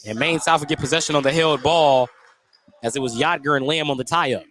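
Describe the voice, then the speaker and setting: A man's voice: on the high side, steady tone, a little fast, a bit bright. A man doing live radio play-by-play of a basketball game, calling each play in clipped, detailed bursts. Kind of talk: live radio sports commentary. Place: indoor high school gymnasium.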